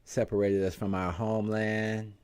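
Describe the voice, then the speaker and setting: A man's voice: fairly low pitch, level tone, moderate pace, a little mellow. A man hosting a show, giving impassioned commentary with emphatic rhetorical questions. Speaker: show host.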